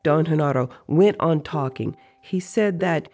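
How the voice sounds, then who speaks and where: A storyteller narrates a literary tale at an unhurried pace. The voice is creaky and gravelly, with a mellow, dark tone. Faint music plays underneath the reading. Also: mid-range voice.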